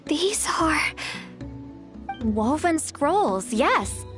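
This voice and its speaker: A woman playing a confident, decisive young female adventurer in a video game, with an expressive delivery. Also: high-pitched, extremely slow, soft background music, heavily acted. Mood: pensive.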